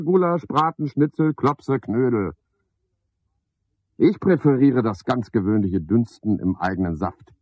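An actor playing an eccentric, sardonic man in a radio play, rambling to himself and to unseen callers in a husky voice. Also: fairly low pitch, a little mellow, no echo, dull audio, heavily acted. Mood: wry, pompous. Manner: sardonic, brooding, musing aloud in fragments.

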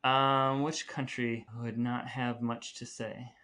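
A man's voice: medium pitch, little pitch movement, slow, bright, forceful tone, a little hiss or hum. A man co-hosting a podcast with casual, playful banter and dry humour. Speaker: podcast co-host.

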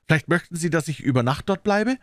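An eager, anxious young gentleman as a male audiobook reader plays him. He speaks with earnest courtesy in a raised, animated voice, recorded dry and close. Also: fairly high pitch, quick pace, no room sound, voice pushed up. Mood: eager, deferential. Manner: polite, earnest.

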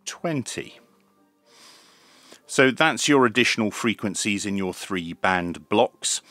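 A man in his fifties presenting a product review in a measured, methodical way, step by step. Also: sits low, sharp tone, no echo. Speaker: presenter of radio equipment reviews. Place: small indoor home radio workshop.

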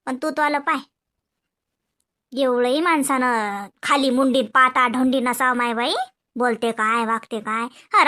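A woman voicing a calm older aunt who gives quiet advice. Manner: slow, low, soothing and wise.

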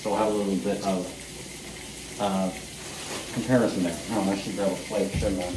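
A man's voice: sits low, level tone, normal speed. A man casually narrating while he cooks in a small home kitchen, rambling and self-deprecating, recorded on a camera set up across the room with frying sizzling behind him. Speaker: home cooking vlogger. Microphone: camera mic set up across the kitchen. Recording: echoey kitchen, faint frying noise.

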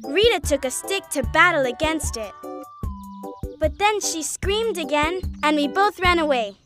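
A small, excitable cartoon animal with a very high, childlike voice. The delivery is dramatic and easily frightened, stretched out slowly, with clear music behind it. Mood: self-important. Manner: dramatic, whiny when frightened. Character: excitable, fearful little cartoon animal boasting of cleverness.

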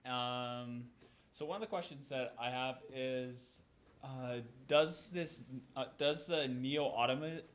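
A man's voice: mid-range voice, slow. A young man stands at a floor microphone in a lecture room and puts a question to the speaker. He is hesitant and trips over his words, restarting mid-phrase. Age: young adult. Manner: hesitant, restarts while asking. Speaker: student asking a question. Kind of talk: audience question.

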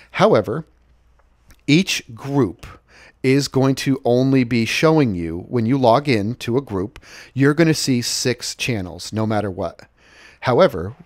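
A man's voice: mid-range voice, dry recording, studio quality. A man presenting a product in a casual, conversational explainer style, recapping points as he walks through slides.

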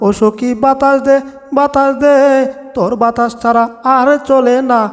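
A man's voice: very high voice, fairly even intonation, measured pace. A man voicing a whiny, bossy comic cartoon cat in a Bengali dub, grumbling and narrating his own doings with exaggeration. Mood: puzzled, dismayed. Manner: exaggerated complaining, self-narrating. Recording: long reverb over background music.

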